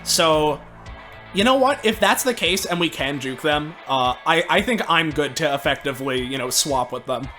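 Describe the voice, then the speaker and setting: Bright-voiced man at the game table. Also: a little high, animated, normal speed, faint music behind. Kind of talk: casual tactical chat.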